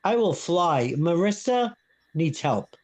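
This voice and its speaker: A woman's voice: lowish voice, sing-song, slow, a little mellow, breathy edge. Seventy-something woman with a thick Brooklyn accent; outspoken, heated, repetitive guest. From Brooklyn, New York. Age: 70s. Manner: blunt, heated, repeats herself. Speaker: live stream guest. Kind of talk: casual chat.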